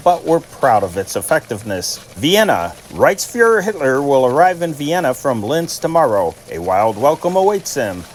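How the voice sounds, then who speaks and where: A man's voice: on the high side, a little hiss or hum. A man reading scripted news dispatches as a host, in a formal announcer-like manner. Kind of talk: scripted newsreading of old wire dispatches.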